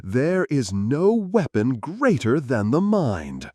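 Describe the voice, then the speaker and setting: A man's voice: medium pitch, wildly swooping pitch, takes it slowly, a little mellow, no room sound, heavily acted. A man voicing a small, wise, determined crow hero in a children's fable, earnest and proclaiming. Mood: solemn, encouraging.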